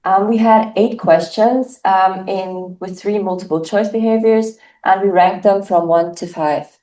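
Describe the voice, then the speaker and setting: A teenage girl from Germany, a native German speaker, presenting survey results with frequent pauses. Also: mid-range voice, fairly even intonation, dark tone, echoey room, rough recording. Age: teens. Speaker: student presenter. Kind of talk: class presentation.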